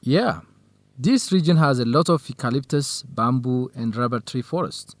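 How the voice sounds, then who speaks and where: A man's voice: mid-range voice, lively intonation, normal speed, no room sound, lightly acted. An actor playing an informative young male field colleague in an educational radio drama, speaking matter-of-factly.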